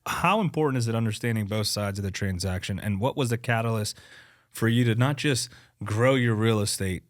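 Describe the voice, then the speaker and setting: A man's voice: fairly low pitch, a little fast, no room sound, clean studio sound. A man hosting a podcast, asking questions in a casual, teasing way. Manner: joking, teasing. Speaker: podcast host. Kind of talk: casual interview.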